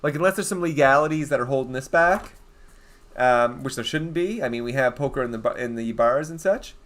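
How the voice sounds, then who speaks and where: A young Canadian man talks loosely through a game livestream, rambling from thought to thought and answering his viewers as he goes. His voice sits at a middling pitch, easygoing and conversational. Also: brisk, a bit bright. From eastern Canada. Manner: chatty, rambling, reads out and answers viewer chat. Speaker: game streamer. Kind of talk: casual livestream chat.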